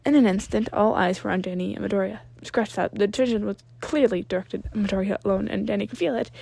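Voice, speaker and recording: Creaky-voiced woman narrating a story. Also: mid-range voice, warm tone, no room sound. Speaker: amateur fan fiction narrator.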